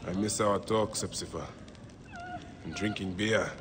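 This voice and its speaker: An actor playing a grieving, vengeful ancient Egyptian warrior in a video game, solemn and heartfelt. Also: male voice, lowish voice, steady tone, measured pace, background noise, lightly acted. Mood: mournful. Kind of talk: dramatic video game acting. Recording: loud music behind the voice.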